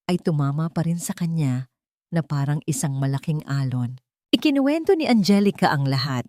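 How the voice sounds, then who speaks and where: A Filipino woman narrates a scripted drama as a storyteller. Her voice sits on the low side and has a soft, dark, mellow tone. The recording is dry and close. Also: dry recording.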